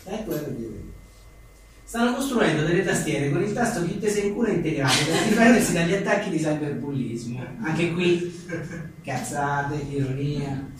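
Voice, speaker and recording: A man's voice: a little high, animated, normal speed. A man reads comic one-liners aloud at a live book presentation, in a wry, joking way with offhand asides. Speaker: journalist and author presenting his book. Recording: echoey room, distant mic, faint noise.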